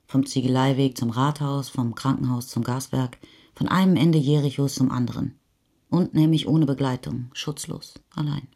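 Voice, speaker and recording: An actress playing a reserved mother who recounts the postwar years in a measured, sober literary style for radio. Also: extremely low pitch, mellow, breathy edge, heavily acted. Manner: measured, restrained. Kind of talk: literary narration in radio drama.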